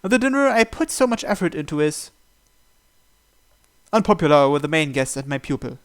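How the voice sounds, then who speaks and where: Slightly high-voiced man reading a game aloud. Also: very animated, normal speed, clear, resonant tone. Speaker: video game player reading along. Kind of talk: translating narration aloud with casual commentary.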